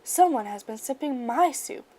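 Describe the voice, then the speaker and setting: A woman's voice: high voice, expressive, normal speed, mellow. A woman voicing a huffy, indignant mama polar bear in a storybook. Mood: huffy indignation. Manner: indignant, huffing.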